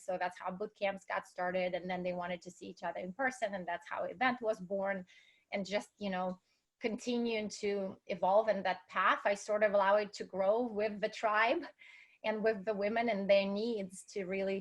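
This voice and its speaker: A woman from Russia speaks with a clear Russian accent as a guest on an interview. Her voice sits at a middle pitch, and she talks in an upbeat, motivational way, like a speaker trying to inspire her listeners. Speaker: guest, entrepreneur. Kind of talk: interview conversation.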